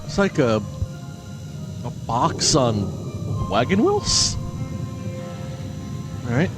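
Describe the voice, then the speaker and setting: A man's voice: sing-song, very slow. A man acting a frightened, bewildered fugitive in a horror series, exclaiming and muttering to himself. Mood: wary, bewildered. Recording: music and rumbling behind the voice.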